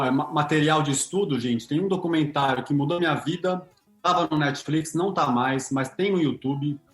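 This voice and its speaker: Brazilian guy plugging his talks, open and self-deprecating, echoey room, faint music. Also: a little high. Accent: Brazilian Portuguese. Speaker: podcast guest, speaker on masculinity. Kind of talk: casual podcast chat.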